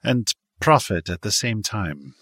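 A man's voice: fairly low pitch, expressive, measured pace, a bit bright, breathy edge, no room sound, clean studio sound, full character voice. A man voicing a bitter, indignant businessman in an audiobook, earnest and insistent. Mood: bitter, urgent. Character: bitter, indignant businessman son of Polish parents.

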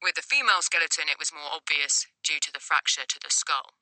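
A gruff police detective, played by a woman narrator, low in pitch with a hard, bright edge. He sounds official and measured, delivering grim facts plainly in audiobook dialogue, recorded very dry. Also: no echo, poor audio. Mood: grave, clinical. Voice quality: gruff, hushed. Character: gruff male police detective giving grim news, matter-of-fact.

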